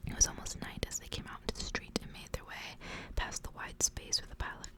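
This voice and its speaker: A woman speaks entirely in a hushed, unvoiced whisper. Her delivery is that of an ASMR creator reading for listeners, kept very quiet throughout. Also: fast.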